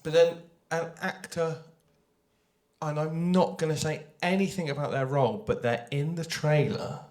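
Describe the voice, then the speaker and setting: A man's voice: on the high side, normal speed, darkish tone. Man on a panel, echoey room. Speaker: audio drama creator on a panel. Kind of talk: unscripted panel discussion.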